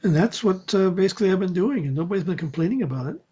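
An older man with a dark, husky voice in casual conversation. He meanders through stories and corrects himself. The audio is somewhat dull and lo-fi. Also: sits high, animated, rapid-fire. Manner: meandering storytelling, self-correcting. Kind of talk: casual chat.

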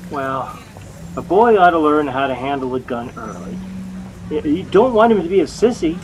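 An actor with an American accent playing a jealous, bumbling young husband, sulky, boastful and sheepishly stammering, in a 1940s radio comedy. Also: male voice, fairly high pitch, animated, normal speed, close to an everyday voice. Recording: noisy old-time radio recording.

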